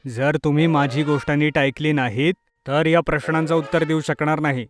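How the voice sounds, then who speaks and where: A man's voice: a little high, steady tone, moderate pace, natural voice. A man voicing a strict, impatient father who sternly instructs and scolds his children in a cartoon story. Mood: firm.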